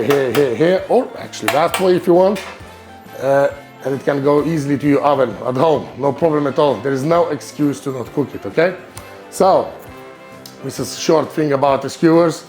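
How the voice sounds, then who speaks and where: Lithuanian man with halting, filler-heavy English. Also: fairly high pitch, darkish tone. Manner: halting, searching for words, many fillers. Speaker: cooking channel host. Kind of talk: casual cooking vlog.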